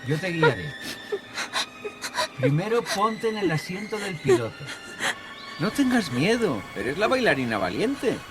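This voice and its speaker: An actor with a Castilian accent playing a calm, manipulative young killer in a radio drama, coaxing and falsely reassuring. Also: male voice, high voice, unhurried, long pauses, low-quality recording. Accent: Castilian Spanish. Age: young adult. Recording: music bed under the voice.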